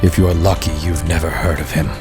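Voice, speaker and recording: An earnest young hero in a video game, caring but wryly resigned. His voice is low, with music playing underneath. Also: flat delivery, a little fast. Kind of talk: video game cutscene acting.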